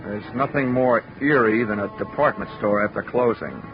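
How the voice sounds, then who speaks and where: A dull vintage radio recording with music behind it carries a man acting a hard-boiled American detective. He is dry and wisecracking, narrating and talking in a deadpan 1940s manner. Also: lowish voice, normal speed, lightly acted. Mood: wry narration. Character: wry, hard-boiled male insurance investigator. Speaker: lead actor and first-person narrator.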